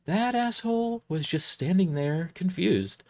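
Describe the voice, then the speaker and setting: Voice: mid-range voice, lively intonation, a little slow, darkish tone, voice pushed up. A performer voicing a sweet but fierce woman who scolds like a schoolteacher in an audio drama. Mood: sweet, indignant. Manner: schoolteacher-like, emphatic.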